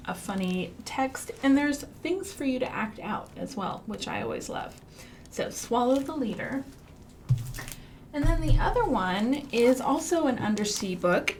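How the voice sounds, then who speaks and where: A woman from the American Midwest leading a storytime for young children as a librarian, chanting rhymes and songs in a sing-song way with big excited swoops. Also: on the high side, vocal fry, slight background noise.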